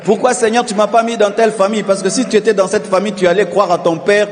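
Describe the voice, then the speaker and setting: A male pastor preaching a sermon, calling on the congregation to repeat after him and swelling into shouted refrains. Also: high voice, level tone, fast, long reverb tail. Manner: preaching with call-and-response, rising to shouted repetitions.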